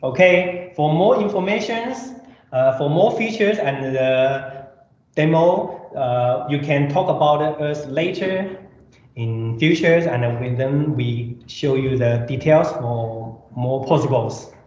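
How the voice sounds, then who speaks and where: Male product presenter, slow and halting non-native English with repeats; dull, echoey room recording with faint noise. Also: on the high side, darkish tone. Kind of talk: live product demonstration. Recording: roomy echo, dull sound, faint noise.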